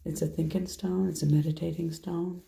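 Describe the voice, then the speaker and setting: Hoarse, low-voiced woman reading tarot. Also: flat delivery, moderate pace. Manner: soft, meandering, half to herself while handling cards. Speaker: tarot reader. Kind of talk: casual tarot reading monologue.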